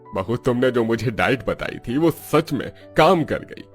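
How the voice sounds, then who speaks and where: A man's voice: mid-range voice, lively intonation, measured pace, soft background music, lightly acted. A man voicing a proud, cheerful elderly father-in-law in a cartoon, teasing and boastful. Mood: proud, pleased.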